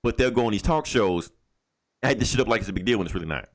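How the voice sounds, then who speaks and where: A man's voice: fairly low pitch, lively intonation, quick pace. A man co-hosting a podcast in casual, profane, slangy banter, joking often. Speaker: podcast co-host.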